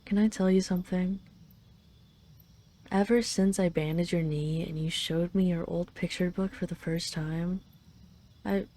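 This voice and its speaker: A woman's voice: on the low side, steady tone, a little slow, long pauses, mellow, natural voice. A woman playing a possessive, doting dragon queen in an intimate roleplay audio, speaking in a teasing, tender, lingering way. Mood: tender, confiding.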